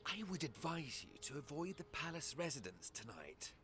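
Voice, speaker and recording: A secretive, arch elf court mage in a fantasy game. His fairly high voice swings very widely in pitch, suave and evasive, over faint ambience. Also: big pitch swings, moderate pace, fairly bright tone, a little hiss or hum. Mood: guarded. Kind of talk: video game cutscene acting.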